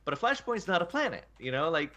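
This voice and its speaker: Male gaming podcast co-host, mid register, bright and punchy, highly animated conversational delivery. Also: fast. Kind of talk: casual gaming panel discussion.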